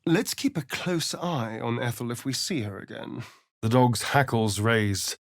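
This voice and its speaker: A man's voice: mid-range voice, a little slow, gravelly, no room sound, studio quality. A man voicing a suave, sardonic vampire rogue in a fantasy video game, with an arch, theatrical, dramatic delivery. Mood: measured, brooding.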